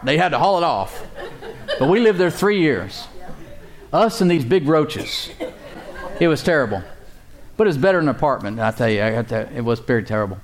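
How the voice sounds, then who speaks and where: A man preaches to his congregation in a loose, conversational style. He repeats himself for emphasis and lets out an easy chuckle. His voice sits at a middling pitch. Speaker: pastor. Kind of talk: sermon.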